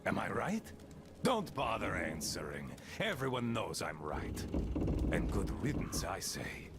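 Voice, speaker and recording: An actor playing a gruff, paranoid older gang leader who mocks and bosses the people around him. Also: male voice, deep voice, sing-song, unhurried, heavy background noise. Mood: scornful. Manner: mocking, domineering. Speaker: the Admiral.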